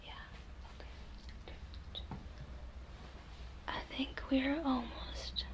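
A young woman doing an ASMR role play in a soothing, reassuring, breathy whisper, close to the microphone in a room. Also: sits high, little pitch movement, very slow. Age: young adult. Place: small indoor room.

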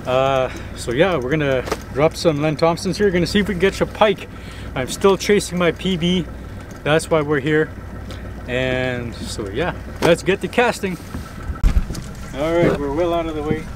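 A young man narrating a fishing vlog from a small boat on a lake, chatty and relaxed, thinking aloud with fillers. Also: a little high, moderate pace. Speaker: fishing vlogger. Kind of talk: casual outdoor vlog narration. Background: outboard motor and water noise.

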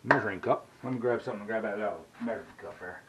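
A man's voice: on the low side, rapid-fire, noisy background. A middle-aged man, rambling in a folksy way as he cooks and talks in his home kitchen. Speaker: cooking vlogger. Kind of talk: casual cooking vlog.

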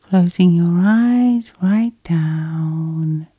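Female hypnosis guide. Hushed, breathy and unhurried, soothing delivery, very dry intimate sound. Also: fairly low pitch, dry recording. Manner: soft, soothing, slow breathy half-whisper. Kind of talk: whispered sleep hypnosis, ASMR guided meditation.

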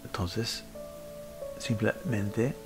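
A man with a fairly deep voice leads a guided meditation. He speaks very slowly, in short instructional phrases separated by pauses. Music plays clearly behind him. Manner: unhurried instructional delivery with frequent pauses. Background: background music.

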